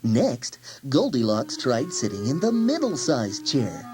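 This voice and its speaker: A woman narrating a children's story expressively, with gentle, exaggerated emphasis for young listeners. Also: a bass voice, wildly swooping pitch, a little slow. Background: music.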